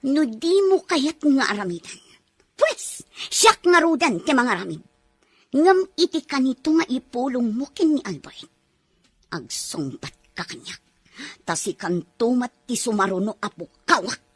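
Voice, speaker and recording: A woman's voice: fairly high pitch, very animated, a little slow, husky, no echo, full character voice. A woman voicing a cruel, scheming female employer who gives threatening orders to her maid in a radio drama. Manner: cold, commanding, threatening.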